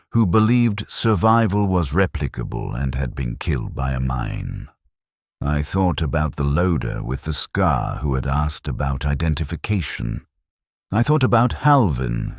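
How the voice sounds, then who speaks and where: Man, extremely low voice, dry close sound, scripted war story narration, clinical and detached. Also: breathy edge, no room sound. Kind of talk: scripted narration, first-person military science fiction story.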